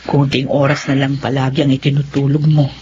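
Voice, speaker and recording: A woman's voice: a bass voice, little pitch movement, fairly quick, background noise. A woman playing a worried, tender mother in a Filipino radio drama, fretful and pitying. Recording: dull audio under loud music.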